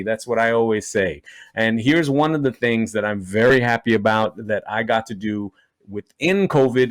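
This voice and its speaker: A man's voice: medium pitch, no room sound. Upbeat self-mocking male comedian, dry sound. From Trinidad and Tobago. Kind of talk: stand-up comedy set for a virtual show. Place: indoors at home.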